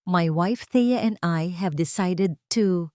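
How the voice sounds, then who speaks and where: A woman voicing a solemn, scheming husband who makes a formal, deliberate speech in an audio story. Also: lowish voice, a little mellow, dry recording, studio quality. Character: solemn scheming husband addressing party guests. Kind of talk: audio story character acting.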